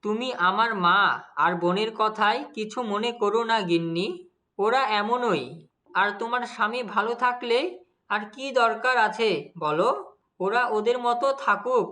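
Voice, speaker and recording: A man's voice: sits high, moderate pace, pushed and bright. A man voicing a greedy, two-faced young husband in a cartoon fairy tale, persuasive and explanatory. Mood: soothing, coaxing. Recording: music under the voice. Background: background music.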